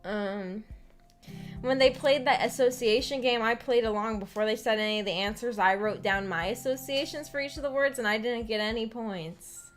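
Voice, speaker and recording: A woman with an American accent chatting casually to viewers as a book club streamer, in a giggly, halting, tentative manner. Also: fairly high pitch, steady tone. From United States. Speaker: streamer hosting a visual novel book club. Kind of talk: casual chat, discussing a story with viewers. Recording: voice over background music. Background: background music.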